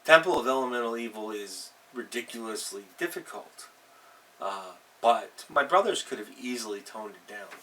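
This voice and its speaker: A middle-aged man hosting an unscripted advice vlog as a game master, speaking haltingly and leaning hard on stressed words. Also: lowish voice, lively intonation, a bit bright. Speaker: host, tabletop game master. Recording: distant mic with faint background noise.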